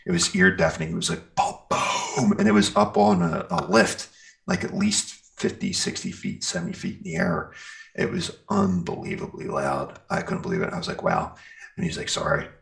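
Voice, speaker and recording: A man's voice: sits low, huge pitch swings, moderate pace, vocal fry, fairly bright tone. A man chatting casually and enthusiastically about life in a band, in an informal, rambling way. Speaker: guest, metal band singer and guitarist. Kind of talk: casual chat, telling band anecdotes.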